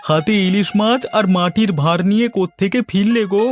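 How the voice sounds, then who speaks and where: A man's voice: high voice, brisk, soft background music, natural voice. A man playing a nosy, friendly villager in a fairy-tale cartoon, folksy and inquisitive. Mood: curious, eager.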